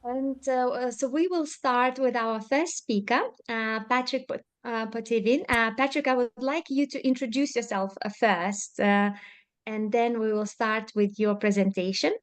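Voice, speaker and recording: A woman moderating a webinar, giving brisk, practical instructions before the first speaker. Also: a little high, steady tone. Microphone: video call.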